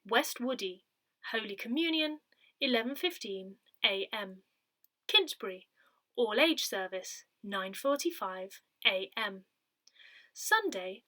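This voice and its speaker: Bright, expressive British woman reading aloud. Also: fairly high pitch. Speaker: volunteer reader of an audio parish newsletter. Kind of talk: scripted narration, reading a newsletter and notices aloud.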